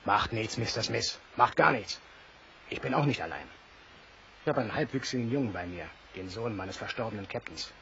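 A blunt, gravelly, hearty sailor. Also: male voice, lowish voice, expressive, normal speed, pushed and bright, full character voice. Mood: confident, hearty. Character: brash, warm-hearted Northern sailor eager to escape. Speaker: voice actor. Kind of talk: German audio drama acting. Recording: dull, hissy old recording.

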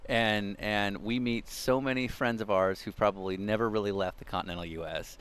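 A man's voice: fairly low pitch, steady tone, brisk, fairly bright tone, smooth. A man hosting a casual chat, teasing his guest bluntly and swearing casually. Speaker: podcast host. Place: outdoors in sunny yard.

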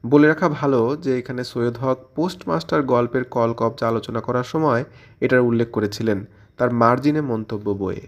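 A man's voice: medium pitch, normal speed. Man presenting scripted literary commentary. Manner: explanatory, poses questions and then answers them. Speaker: presenter of a literary audio channel.

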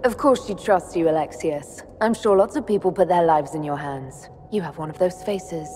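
A woman's voice: on the low side, moderate pace, warm tone, slight background noise, close to an everyday voice. An actress playing a wry, cool-headed young elf woman envoy in a video game, dry and self-possessed. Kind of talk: video game cutscene acting. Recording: long hall reverb, music underneath.

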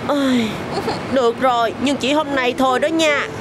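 A woman voicing a kind, modest young male bus in a dubbed cartoon, speaking gently and politely. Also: sits high, fairly even intonation, moderate pace, echoey room, over music, natural voice. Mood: gentle. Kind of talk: Vietnamese cartoon dub.

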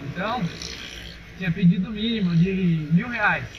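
A man's voice: high-pitched, measured pace, crowd noise. A young Brazilian man chatting casually to his viewers while riding a motorcycle on a dirt road, recorded on a helmet camera. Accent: Brazilian Portuguese. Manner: chatty, addresses viewers as "rapaziada". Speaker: motovlogger. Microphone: helmet-mounted action camera. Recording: muffled helmet camera with engine and wind noise.

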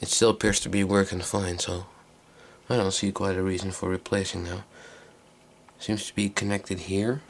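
A man giving a casual, unscripted hands-on demonstration in a low, creaky voice, recorded on a handheld camera microphone. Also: little pitch movement, moderate pace, slight background noise. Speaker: hobbyist video maker. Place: small indoor workroom.

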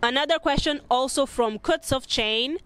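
A woman's voice: high-pitched, normal speed, fairly bright tone. A young woman from Mozambique chatting casually as a vlog host, answering viewers' questions. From Maputo, Mozambique. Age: young adult. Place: open city rooftop, outdoors. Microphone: handheld earphone mic near mouth.

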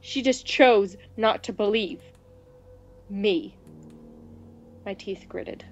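A woman gaming co-host with an expressive delivery, reading visual novel dialogue aloud. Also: mid-range voice, slow, muffled recording.

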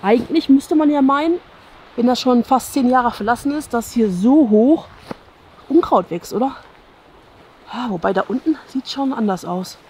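A woman's voice: a little high, moderate pace, darkish tone, slight background noise. Musing German woman exploring outdoors. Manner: musing aloud, often seeking agreement with "ne?". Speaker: urban exploration vlogger. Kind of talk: casual walking commentary to viewers. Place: outdoors at an overgrown abandoned campsite. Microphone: action camera worn on chest.

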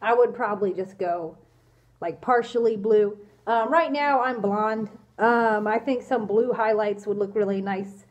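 A woman's voice: medium pitch, a little slow, dull audio. A young woman with a congested cold, answering viewer questions in a casual vlog, hesitant and apologetic with many ums, filmed on a phone held at arm's length in a small room. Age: young adult. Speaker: fursuit vlogger answering questions. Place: small indoor room, in costume. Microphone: phone camera at arm's length.

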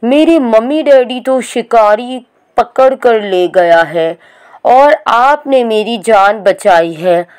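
A woman's voice: fairly high pitch, animated, slow pace, darkish tone, clear, resonant tone. A woman voicing a hurt, frightened baby hawk boy, pleading and tearful. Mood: sad.

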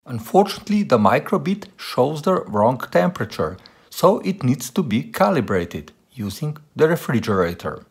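Animated man vlogger, lively pitch swings. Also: normal speed. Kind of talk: presenting to camera and narrating a car tech video.

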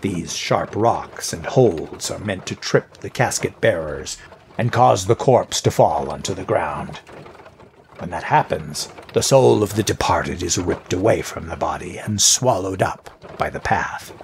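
Man playing a deep, gravelly, grave guide. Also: a little hiss or hum. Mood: ominous. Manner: formal, deliberate, dour. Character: grave, stern man, knowledgeable guide of cursed roads. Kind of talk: audio drama acting.

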